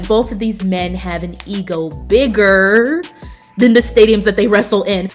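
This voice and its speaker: A woman hosting a casual wrestling recap show, talking enthusiastically and repeating words for emphasis in relaxed, slangy phrasing. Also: on the high side. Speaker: wrestling review show host.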